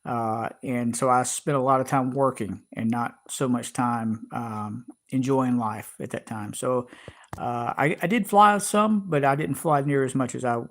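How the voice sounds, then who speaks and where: A man's voice: mid-range voice, a little slow. A middle-aged man from Georgia with a Southern American accent, hosting a casual livestream and talking in a rambling way with many fillers and restarts. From Georgia, USA. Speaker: channel host.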